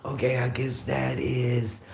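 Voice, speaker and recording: Raspy low-voiced man in an echoey room. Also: monotone, slow, darkish tone. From Florida. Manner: rambling, easygoing, frequent fillers and restarts. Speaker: livestream host. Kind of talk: casual live chat, reading out viewer comments. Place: room at home with the windows open. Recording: roomy echo with faint street noise.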